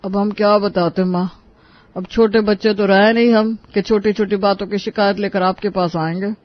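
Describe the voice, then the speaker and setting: A man's voice: sits high, steady tone, moderate pace, no echo, highs cut off, natural voice. A man voicing a henpecked, complaining elder brother in a Hindi cartoon, talking in a familiar, plaintive way. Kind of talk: dramatic Hindi cartoon dialogue.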